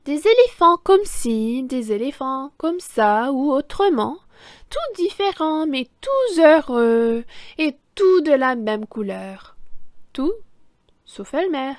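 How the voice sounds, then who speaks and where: Slow, high-voiced woman reading a children's story. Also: very animated, dry recording, studio quality. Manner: slow, deliberate, theatrical storytelling with emphasis on key words. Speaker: children's story reader, French teacher. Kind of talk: expressive read-aloud of a children's picture book.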